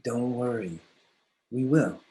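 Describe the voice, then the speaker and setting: A man voicing a protective teenage boy who calms a younger girl in a table read. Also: medium pitch, takes it slowly, pitched above the performer's own voice, lightly acted. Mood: reassuring. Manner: gentle, reassuring.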